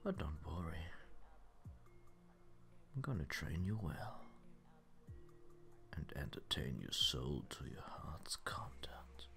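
A man voicing a seductive, domineering incubus demon in an ASMR roleplay, teasing in an intimate, breathy murmur that often drops to a whisper. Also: very deep voice, very animated, a bit bright, faint music behind, heavily acted. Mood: commanding, teasing. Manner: slow, teasing, commanding.

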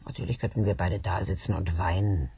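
An actress playing an injured, hard-drinking, needy and taunting woman in a German radio drama, swinging from muttered to shrill. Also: fairly even intonation, a little fast, warm tone, husky, no echo, exaggerated voice. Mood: weary, coaxing.

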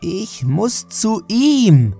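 A man voicing a strange elderly female patient who is fixated and entranced, speaking slowly and insistently in a horror audio story. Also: sits high, sing-song, warm tone, slightly rough, faint music behind, pitched above the performer's own voice, exaggerated voice.

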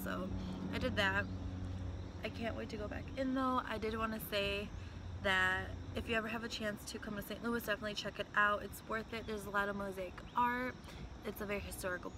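A woman's voice: medium pitch, noisy background. A woman in her twenties talking casually to her viewers into a phone held at arm's length, outdoors and in a car. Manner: chatty, upbeat, addresses viewers directly. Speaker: travel vlogger. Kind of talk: casual vlog talk to camera. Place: outdoors in a city and in a parked car.

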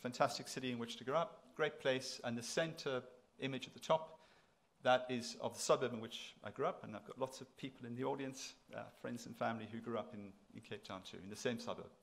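A man's voice: mid-range voice, fairly quick, gravelly. Middle-aged man giving a lecture. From South Africa. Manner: conversational, emphatic, repeating phrases for effect. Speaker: institution president giving inaugural address. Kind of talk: public lecture to an audience. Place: lecture hall, at a podium.

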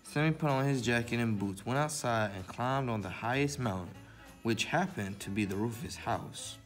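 A man's voice: medium pitch, moderate pace, soft background music. A man hosting a library story time, presenting to children in the manner of a read-aloud and simple how-to.

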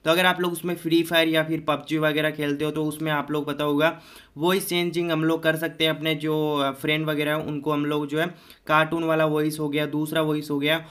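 Young male, slightly high voice; informal tech YouTuber walking viewers through steps. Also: little pitch movement, a little fast, a bit bright. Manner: informal, repeats filler phrases, addresses viewers as friends. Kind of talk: casual step-by-step phone tutorial.

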